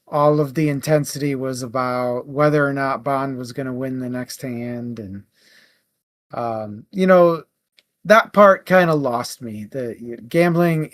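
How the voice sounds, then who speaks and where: A man co-hosting a book club podcast, giving his opinion casually and haltingly, as if thinking aloud. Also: mid-range voice, no room sound. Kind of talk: casual book review talk.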